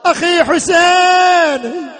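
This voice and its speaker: Man reciting as a grieving, pleading sister, high-pitched. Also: extremely slow, a bit bright, shouting, soft background music, exaggerated voice. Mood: tearful dread. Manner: pleading, sorrowful, half-chanted. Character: grieving, fearful, devoted sister bidding farewell. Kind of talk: religious lament storytelling.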